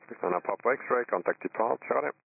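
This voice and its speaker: Fast-talking man on narrowband control tower radio. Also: medium pitch, gravelly. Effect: aviation radio. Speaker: air traffic tower controller. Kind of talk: clipped radio instructions. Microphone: air traffic radio transmission. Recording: narrowband airband radio with faint static.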